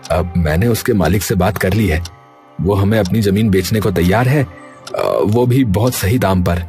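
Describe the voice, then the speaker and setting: A man's voice: sits low, a little slow. A man voicing a kind, eager village jalebi seller in a cartoon story. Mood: earnest. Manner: eager, pleading and hawking loudly. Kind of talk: cartoon voice acting. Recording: faint music behind.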